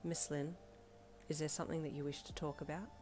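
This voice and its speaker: Brief: woman voicing a serious young man, soft, steady and low-key, in her own lowish voice. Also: faint music behind, natural voice. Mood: gentle. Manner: measured, gentle. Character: calm, gentle young man, composed and serious.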